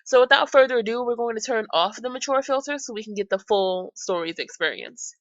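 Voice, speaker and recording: A woman's voice: a little high, fairly quick, a bit bright, muffled recording. A woman hosting a gaming channel, chatting casually and reacting aloud as she plays a visual novel. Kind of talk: casual let's-play commentary and reading aloud of a visual novel. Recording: very noisy home recording.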